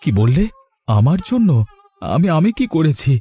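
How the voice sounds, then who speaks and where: An actor voicing a good-natured village man in a cartoon, in an expressive, husky voice. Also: a little high, unhurried, faint music behind, heavily acted. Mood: mild, earnest. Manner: animated, expressive. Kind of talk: Bengali cartoon voice acting.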